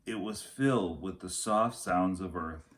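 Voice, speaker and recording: A man's voice: low-pitched, unhurried, a bit bright. A man narrating a storybook for children in the manner of a teacher reading aloud.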